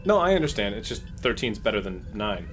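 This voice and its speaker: A man's voice: fairly low pitch, very animated, a little fast, soft background music. A man chatting eagerly as a player in a casual tabletop role-playing game, sometimes stumbling into his sentences. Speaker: player as starship captain. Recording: faint room noise.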